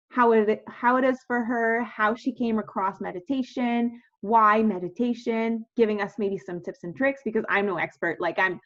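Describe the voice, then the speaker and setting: A woman hosts a relaxed interview over a video call. She talks in an upbeat, rambling way, full of fillers and restarts, at an everyday pitch. The sound is slightly dull. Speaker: podcast host. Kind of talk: casual interview chat.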